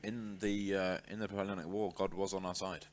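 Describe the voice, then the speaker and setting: Man, low voice, esports color commentator, casual analysis, dry joking asides. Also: flat delivery, brisk, noisy background.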